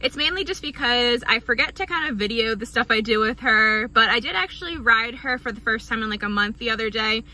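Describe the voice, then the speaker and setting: A woman's voice: on the high side, lively intonation, brisk, few pauses, sharp tone, low-quality recording. A woman in her twenties chatting casually to her phone from a parked car, upbeat and full of fillers. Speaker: horse vlogger. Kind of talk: casual vlog chat. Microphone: phone at arm's length.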